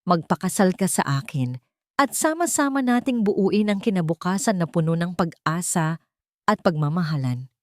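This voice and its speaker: A woman's voice: on the low side, expressive, moderate pace, darkish tone, no echo, clean studio sound, lightly acted. A woman voicing a tender young man who earnestly proposes marriage, his voice trembling with emotion, in a storybook romance.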